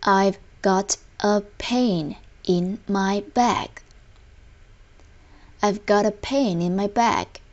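A woman reads out lesson phrases as a language teacher. Her delivery is extremely slow and deliberate, separating each word carefully. Her pitch sits in an ordinary middle range for a woman. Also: highs cut off. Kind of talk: scripted lesson narration, slow repeated example phrases.

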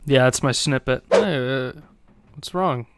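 A man's voice: medium pitch, measured pace, over music. A man chatting casually while playing a role-play game, joking and trolling with plenty of slang and swearing. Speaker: gaming video creator playing online role-play.

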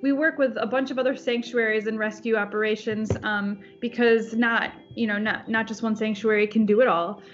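A woman's voice: on the high side, steady tone, normal speed, few pauses. Chatty woman on a lo-fi video call. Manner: rambling, restarts sentences, laughs easily. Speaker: farm sanctuary founder. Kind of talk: casual video call chat. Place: home room. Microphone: laptop webcam on video call. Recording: dull lo-fi call audio, faint music.

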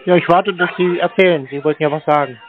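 A man's voice: on the high side, steady tone, quick pace. A man talking on a phone call in a dry, sceptical, questioning way. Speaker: show host answering a scam call. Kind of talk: phone conversation.

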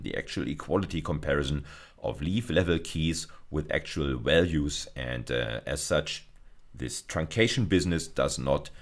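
A man with an extremely deep voice lectures in an explanatory style. His intonation moves around in a lively way, with a gravelly fry underneath. He is deliberate, often restating a phrase to drive it home. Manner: deliberate, repeats phrases for emphasis. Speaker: university lecturer.

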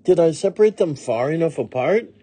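A cheerful housekeeper woman voiced by a man from a storybook, earnest and folksy. The voice is lifted high and husky, with a sing-song, widely moving pitch. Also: expressive, a little fast, dark tone. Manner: folksy, earnest, homespun phrasing. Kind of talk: children's storybook character reading.

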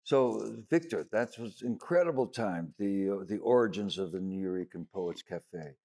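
A man's voice: deep voice, lively intonation, moderate pace, dark tone. Podcast host man asking questions. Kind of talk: interview questions.

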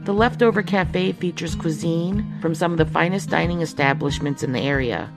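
A woman's voice: lowish voice, moderate pace, over music, lightly acted. A woman playing a polished, straight-faced announcer in a scripted parody radio commercial. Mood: polished, deadpan. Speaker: advertisement announcer.

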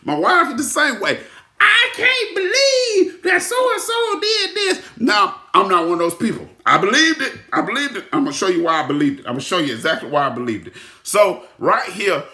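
A middle-aged preacher with a high, bright voice that swings from near-shouts to hushed asides. He repeats his lines for emphasis. Recorded on a phone held at arm's length, with the echo of a room at home. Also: sing-song, normal speed. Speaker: pastor. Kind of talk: sermon. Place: room at home with ceiling lights. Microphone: phone camera at arm's length. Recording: echoey room.

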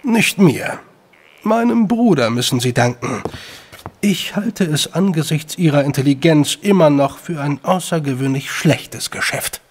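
An actor playing a self-satisfied, urbane British government gentleman in an audio drama, with formal speech. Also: on the high side, animated, unhurried, breathy edge. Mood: smug.

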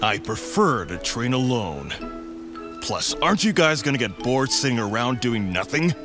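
A man voicing a gruff, hot-headed, stubborn echidna warrior who talks curtly in a cartoon comic dub. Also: medium pitch, sing-song, a little slow, over music. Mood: gruff, reluctant. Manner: gruff, curt. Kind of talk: animated comic dub acting.

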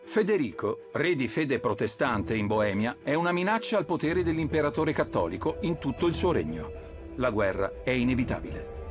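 A man narrating a history documentary in a measured, dramatic voice-over. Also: medium pitch, very animated. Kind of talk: scripted narration. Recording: voice-over with music underneath.

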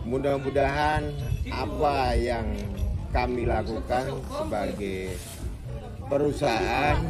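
Slow, formal middle-aged man outdoors. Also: mid-range voice. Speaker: company representative. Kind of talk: formal spoken statement to camera. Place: outdoors in front of a village building. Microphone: handheld camera at a few steps. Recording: outdoor camera audio under loud music. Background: loud music.

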